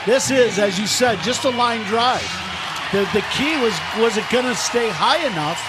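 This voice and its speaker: Male radio analyst for live baseball, high-pitched, talking conversationally through clear background noise. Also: expressive, normal speed, a loud crowd. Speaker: baseball radio color commentator. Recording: noisy ballpark broadcast.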